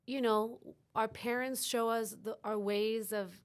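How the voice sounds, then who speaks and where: A woman's voice: a little high, fairly even intonation, measured pace. A middle-aged woman from Chile, a podcast guest talking openly and reflectively in a casual interview, often restarting her sentences. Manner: reflective, open, with frequent restarts.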